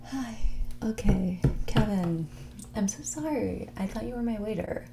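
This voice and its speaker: A woman playing a snobby, dismissive rich young woman in a whispered and soft-spoken ASMR roleplay, condescending and ditzy, saying "like" often. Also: medium pitch, lively intonation, a little slow, heavy background noise. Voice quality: breathy whisper mixed with creaky soft speech. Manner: ditzy, condescending, hesitant delivery full of "like".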